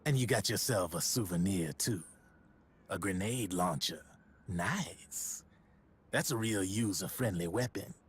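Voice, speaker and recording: An actor playing a sly middle-aged arms dealer, talking in a wry, husky storytelling voice. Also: male voice, sits low, expressive, measured pace, full character voice.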